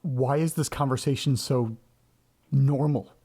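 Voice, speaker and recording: A man acts a disturbed listener in an audio drama monologue. He has an everyday, medium-pitched male voice. He mutters to himself with incredulous, sardonic indignation, working things out as he speaks. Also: a little slow, warm tone, slight background noise, lightly acted. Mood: incredulous, indignant. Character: disturbed, indignant grandson listening to old tapes.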